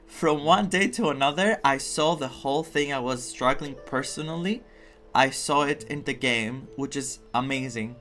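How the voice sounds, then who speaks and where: A man's voice: mid-range voice, bright, forceful tone. A man giving casual, reflective reaction commentary as a game streamer, earnest and emphatic.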